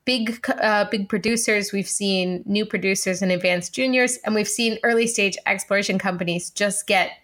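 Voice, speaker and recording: A woman's voice: medium pitch, fairly even intonation, moderate pace, hardly any pauses, a bit bright. Articulate, dryly wry female podcast co-host. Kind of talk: conversational market discussion.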